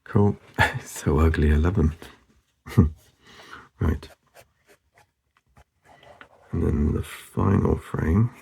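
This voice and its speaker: A man narrating casually as he draws, laid-back and murmuring, with a husky, gravelly voice that often trails off. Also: sits low, steady tone, extremely slow, long pauses, darkish tone, slight background noise. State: losing his voice, hoarse. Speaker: artist hosting a drawing channel. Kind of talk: casual narration while drawing.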